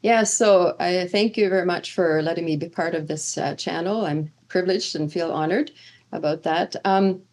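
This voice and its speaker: A woman's voice: on the low side, normal speed, a little mellow. A middle-aged woman originally from Sweden, a seminary professor, answering interview questions earnestly with many fillers and restarts. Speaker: seminary professor of Old Testament.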